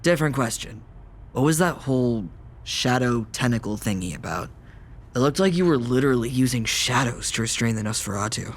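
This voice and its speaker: Questioning, mid-pitched young vampire man voiced by an actor. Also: expressive, brisk, a little hiss or hum, natural voice. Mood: curious. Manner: questioning, conversational. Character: newly turned male vampire, curious, sceptical, naive. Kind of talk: audio drama acting.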